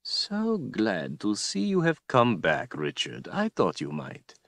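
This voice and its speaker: A man's voice: fairly low pitch, huge pitch swings, takes it slowly, gravelly, dry recording, full character voice. A man voicing a cruel male tyrant who speaks in a silky, taunting, menacing way. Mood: mocking.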